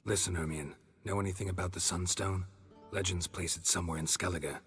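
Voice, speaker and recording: Deep deadpan monster hunter guy, game cutscene, clipped dry lines, music clearly under him. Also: fairly quick, no room sound, full character voice. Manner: terse, deadpan, clipped sentences. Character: stoic, dry-witted middle-aged male monster hunter. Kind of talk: video game cutscene dialogue.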